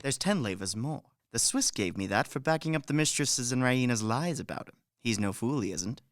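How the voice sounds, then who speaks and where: Radio drama manservant, played by a man: shrewd and wheedling, mid-pitched with big melodic swings, fond of instructing. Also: animated, moderate pace, no room sound, clean studio sound, natural voice. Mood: confiding, coaxing. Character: shrewd male Bulgarian manservant, scheming, self-important, lecturing. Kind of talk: radio play stage acting.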